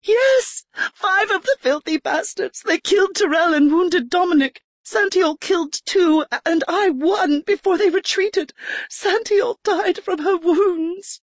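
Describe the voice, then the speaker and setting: A woman voicing a young noblewoman who fakes panic but holds her ground, with a high, breathless, pleading delivery. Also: unhurried, dry recording. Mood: frightened.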